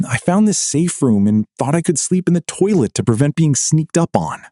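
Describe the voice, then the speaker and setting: A woman's voice: low-pitched, big pitch swings, moderate pace, warm tone, no echo, clean studio sound, voice pushed down. A woman voicing a tired, wary adult man who talks in an apologetic mumble. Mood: weary.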